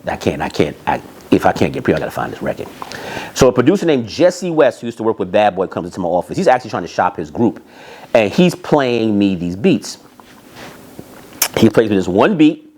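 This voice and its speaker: A man telling anecdotes in an animated, emphatic way, repeating phrases and acting out bits of dialogue. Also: on the low side, brisk, husky. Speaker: storyteller, music industry executive.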